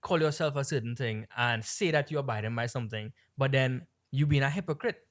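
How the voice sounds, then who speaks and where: A man from Trinidad with a Trinidadian-tinged American accent, co-hosting a podcast and telling a long story in a rambling, animated way with lots of fillers. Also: mid-range voice, fairly quick. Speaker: podcast co-host. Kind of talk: casual storytelling chat.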